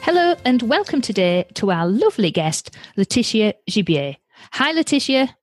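A woman's voice: a little high, big pitch swings, moderate pace, no room sound, faint music behind. A woman with a Yorkshire accent hosting a light-hearted podcast interview in a chatty, exclamatory way. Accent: Yorkshire English. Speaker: podcast host.

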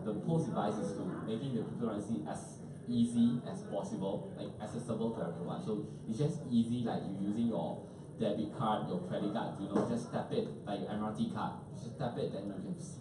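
A man in his thirties speaking informally as a startup panelist, in a colloquial way peppered with "you know". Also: on the low side. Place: large event room with dark wall, indoors. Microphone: handheld mic into PA, picked up by far camera. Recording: very echoey room, distant mic, loud noise.

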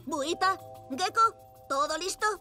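A young boy superhero in a cartoon, resolute and in charge. The voice is very high with a Castilian Spanish accent, and music plays underneath. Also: expressive, unhurried. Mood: commanding. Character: determined young boy superhero, leader of his team. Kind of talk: animated series dub.

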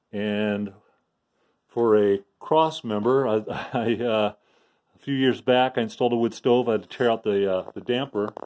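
A man giving a casual, unscripted walkthrough of a home garage project, plain and unhurried with frequent restarts and fillers. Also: lowish voice, darkish tone. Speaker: DIY video maker. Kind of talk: casual show-and-tell walkthrough. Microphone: handheld phone camera, speaker off screen.

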